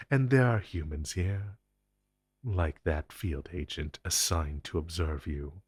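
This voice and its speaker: An ancient telepathic entity voiced by a man addresses the listener in an intense audio drama. The voice is deep and husky, captured close and dry. It speaks with theatrical deliberation, possessive and condescending, a menacing protector of humankind. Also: no room sound, heavily acted. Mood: possessive threat.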